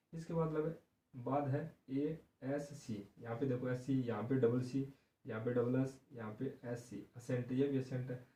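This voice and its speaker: A man's voice: medium pitch, mellow, recorded from a distance. A man teaching an exam-prep vocabulary lesson who explains patiently, repeats words and spells them out letter by letter. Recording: echoey room, voice somewhat off-mic.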